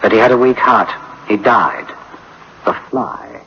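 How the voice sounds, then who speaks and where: An actor playing a grave, anxious engineer in a science fiction radio drama, with an emphatic theatrical delivery. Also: male voice, lowish voice, measured pace, pushed and bright. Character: anxious, grave male engineer, dreading disaster. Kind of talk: dramatic sci-fi radio acting. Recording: dull, faintly noisy old radio recording.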